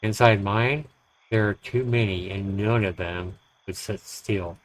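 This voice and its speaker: A man reading a horror story aloud as dramatic narration, with deliberate, expressive phrasing. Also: fairly low pitch, low-quality recording. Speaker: streamer reading a visual novel aloud.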